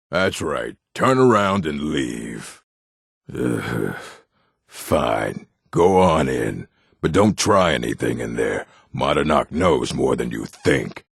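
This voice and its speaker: An actor, a man, playing a surly, domineering orc with a gravelly, raspy voice and brusque delivery in a video game. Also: rumbling low, dry recording, studio quality, heavily acted. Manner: curt, brusque. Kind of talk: video game character acting.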